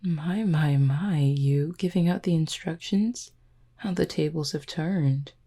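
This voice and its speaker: A woman acts out a flirtatious werewolf pack beta in an intimate audio drama. Her voice sits low and dark, with plenty of gravelly fry. She talks in a confident, teasing way, as if to one person. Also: slow, full character voice. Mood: playful, teasing. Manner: teasing, flirtatious, smooth-talking.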